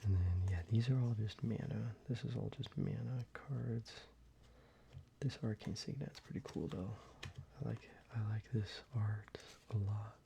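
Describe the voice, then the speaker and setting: A young man talking softly in a half whisper, casual and rambling, as an ASMR creator. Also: on the low side, measured pace, vocal fry. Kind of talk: soft-spoken ASMR show-and-tell. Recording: slightly muffled, with faint ambient noise.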